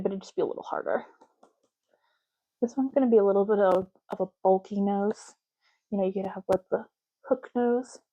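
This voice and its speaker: A woman doing a soft-spoken ASMR roleplay as a clerk, explaining options in a casual, chatty way. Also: mid-range voice, lo-fi sound. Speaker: ASMR creator playing a clerk making ID cards.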